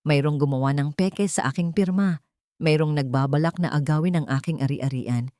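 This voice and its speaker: A woman's voice: low voice, moderate pace, dark tone, dry recording, clean studio sound. A woman reading a scripted dramatic story as narrator.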